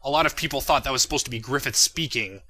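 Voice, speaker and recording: A man with a strikingly bright, forward voice at an average pitch talks casually over his own fan parody. He is irreverent and self-deprecating, swears easily and tends to chuckle through his unscripted remarks. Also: quick pace. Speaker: creator giving commentary on own fan parody series. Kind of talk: casual unscripted video commentary.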